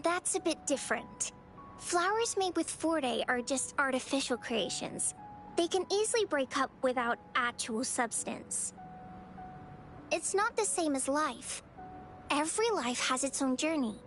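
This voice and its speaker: High, sweet young girl. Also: animated, moderate pace, faint music behind. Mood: gentle, earnest. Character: sweet, earnest young girl who loves flowers. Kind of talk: video game story dialogue.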